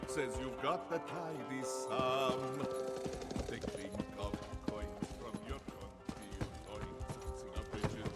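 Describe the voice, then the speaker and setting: A woman's voice: rumbling low, slow pace, roomy sound, loud music behind the voice. A woman voicing a sly, coaxing cat-folk street character in a video game.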